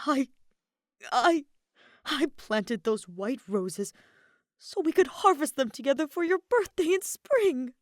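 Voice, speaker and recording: A woman's voice: high voice, animated, slow, no room sound, studio quality, pitched above the performer's own voice. A woman voicing a volatile, easily heartbroken young male queen in an emotional storybook reading. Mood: shaking with anger. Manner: emotional, wailing outbursts.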